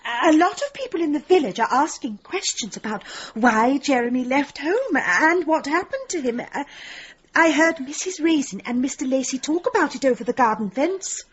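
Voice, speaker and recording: A woman's voice: high-pitched, expressive, moderate pace, lo-fi sound. An actress playing a solicitous, gossipy village woman in a radio drama, hesitant and fluttery. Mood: hesitant, confiding.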